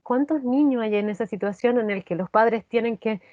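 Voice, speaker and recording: A woman with a Chilean accent answering in an interview, reflective and earnest, often halting and repeating phrases. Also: fairly high pitch, no echo. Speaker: actress, interview guest. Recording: dull, lo-fi sound.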